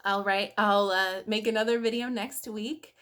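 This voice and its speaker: A woman reading a picture book aloud to young children in an expressive way, with dramatic emphasis, speaking straight to her listeners. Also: mid-range voice, moderate pace. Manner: addresses young listeners directly with questions.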